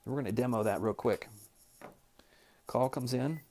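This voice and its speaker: A man's voice: medium pitch, normal speed, gravelly, a little mellow. A man giving a step-by-step, plain-spoken instructional tutorial as a trainer demonstrating office equipment.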